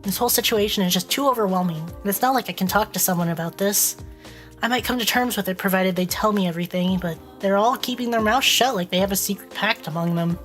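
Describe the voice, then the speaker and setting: A woman's voice: mid-range voice, quick pace, a bit bright, faint music behind. A woman streamer with a stuffy, congested voice, reading a visual novel aloud and breaking off to joke with her chat. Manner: playful, reads dialogue aloud and breaks off to joke.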